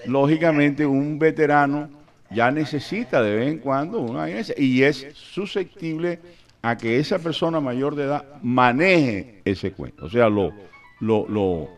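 A man's voice: medium pitch, unhurried. An older man, chatting and joking as a radio host in a studio, speaking into a studio mic. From Colombia. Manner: animated, joking, rambling. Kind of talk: casual radio chat, storytelling. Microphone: studio mic with headphones.

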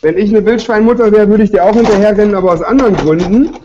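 A man's voice: sits high, fast, muffled recording, background noise. A man hosting a cooking show in a studio kitchen, joking and interviewing guests while cooking.

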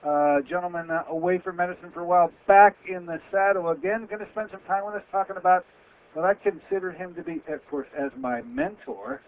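A man in his sixties with a Western Pennsylvania accent, a physician hosting a radio health show in a loud, rambling, chatty way from a small office on a headset mic. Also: sits high, fairly quick. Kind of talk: casual radio talk. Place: small office with framed certificates. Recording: tinny phone-like audio.

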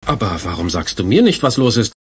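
An actor playing a brash, theatrical hero in a 1980s German fantasy audio drama, with dramatic delivery. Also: male voice, fairly low pitch, big pitch swings, a little fast, husky, full character voice. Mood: teasing.

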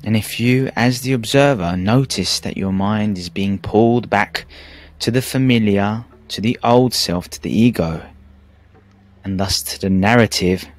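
A man narrating a guided meditation in a calm, measured, soothing way with pauses. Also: on the low side. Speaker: meditation teacher. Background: background music.

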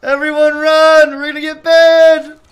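A young man streaming a game from his home setup, shouting and swearing in panic. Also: extremely high pitch, little pitch movement, a little slow. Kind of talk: frantic gaming stream chat.

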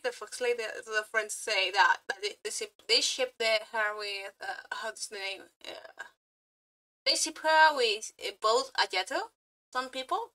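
A woman's voice: a little high, bright, forceful tone, low-quality recording. A woman hosting a reaction video, commenting casually with giggly, exclamatory remarks and loose swearing.

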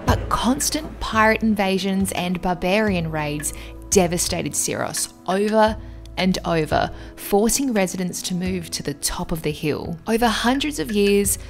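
A woman's voice: mid-range voice, expressive, a little slow, creaky, faint music behind. A young Australian woman reading scripted voice-over narration for a travel vlog. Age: young adult. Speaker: travel vlogger.

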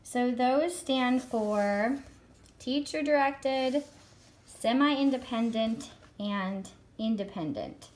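A woman in her thirties with a slightly hoarse voice from a cold, chatting enthusiastically at home while showing homeschool books to a camera. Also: a little high, slow pace. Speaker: homeschool curriculum reviewer. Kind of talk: casual show-and-tell review. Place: home room with a world map.

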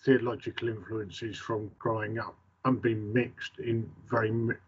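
Husky middle-aged man asking questions. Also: fairly low pitch, steady tone. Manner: measured, setting up questions step by step. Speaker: chaplain interviewer. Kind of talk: interview questions on a video call. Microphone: webcam on a video call. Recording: dull lo-fi video call audio.

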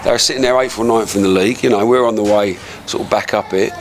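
A middle-aged man, a football manager, talking quickly with many restarts in a post-match interview outdoors. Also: on the low side, steady tone. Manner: rapid, restarts his words. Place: outdoors by a football ground wall.

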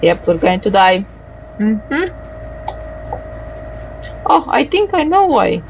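A woman doing let's play commentary, reading game dialogue aloud haltingly and adding muttered asides. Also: mid-range voice, very animated, gravelly, a little hiss or hum, low-quality recording.

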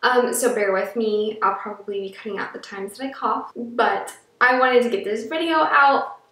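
A young woman with a froggy, allergy-roughened voice, chatting through a casual makeup review to camera, a little rambling with fillers. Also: fairly high pitch, normal speed, sharp tone. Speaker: beauty vlogger. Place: small room indoors. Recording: echoey room, a little far from the mic.

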